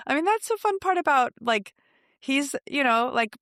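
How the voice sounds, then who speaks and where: A woman's voice: sits high, expressive, normal speed, a bit bright, no room sound, clean studio sound. A middle-aged woman co-hosting a podcast, telling family stories in a casual, conversational way. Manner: conversational storytelling, quotes her kids. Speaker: podcast co-host. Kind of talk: casual chatty parenting podcast talk.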